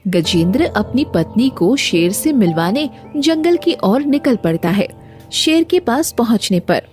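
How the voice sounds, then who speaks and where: A woman's voice: fairly high pitch, sing-song, a little slow, darkish tone. A woman storyteller delivers scripted narration for a children's cartoon tale. She serves as the narrator between scenes. Faint music runs under the voice.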